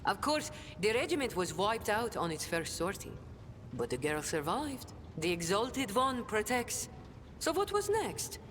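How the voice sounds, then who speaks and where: An actress playing a hardened, defiant lowborn smuggler and ex-soldier in a video game, blunt and sardonic. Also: female voice, very animated, measured pace. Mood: bitter, sardonic. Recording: long reverb, loud rumbling noise behind.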